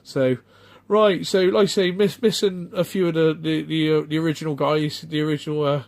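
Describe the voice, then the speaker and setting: Rambling man, fairly high voice. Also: steady tone, creaky, darkish tone. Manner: rambling, halting, lots of ums and restarts. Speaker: retro game collector vlogger. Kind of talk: casual off-the-cuff vlog chat.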